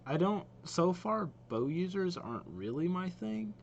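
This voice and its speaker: A man's voice: high-pitched, sing-song, measured pace, creaky, muffled recording, slight background noise. A young man hosting a game reaction video, giving casual, off-the-cuff commentary. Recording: faint game music behind voice. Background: game trailer music.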